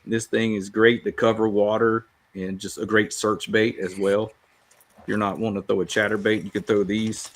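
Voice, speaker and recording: Male American podcast panelist, slightly deep voice, informal, enthusiastic gear talk. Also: flat delivery, normal speed. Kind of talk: casual chat about fishing gear.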